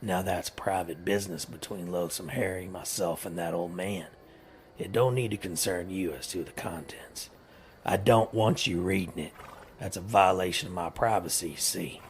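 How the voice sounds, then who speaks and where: A deep, gravelly outlaw boss gives orders in a rural Western drawl. He is scheming and menacing, commanding yet slyly coaxing, a villain from a western radio play with noise behind him. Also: normal speed. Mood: sly, threatening. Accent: rural American Western drawl. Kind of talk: western comedy audio drama acting.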